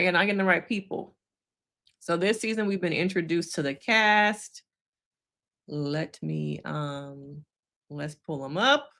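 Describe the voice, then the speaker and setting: A woman's voice: on the low side, creaky, bright, forceful tone. A woman therapist giving casual, blunt reaction commentary on a reality show, talking straight to her viewers with sassy asides.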